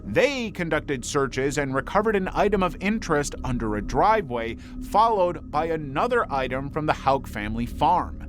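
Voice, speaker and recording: A male narrator delivers scripted true-crime storytelling in a fairly high voice. His pitch moves in a lively way through the measured documentary script, with clear music playing behind him.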